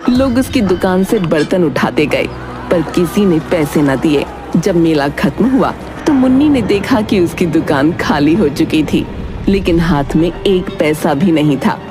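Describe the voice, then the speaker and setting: A woman narrating a scripted moral story for children as a storyteller. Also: lowish voice.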